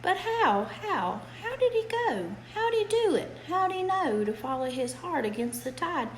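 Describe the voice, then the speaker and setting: A woman's voice: extremely high pitch, big pitch swings, a little slow, slight background noise, voice pushed up, heavily acted, poor audio. A woman voicing a yearning, anxious young boy chickapig from a storybook, with pleading, emphatic questions. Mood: pleading, wondering.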